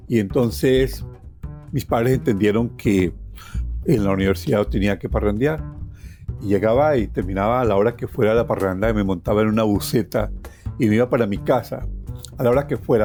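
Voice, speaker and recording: A middle-aged man with a Colombian accent tells his life story reflectively, repeating words for emphasis and ending phrases with little tag questions. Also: fairly low pitch. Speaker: journalist telling his life story as podcast guest. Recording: soft plucked guitar music behind.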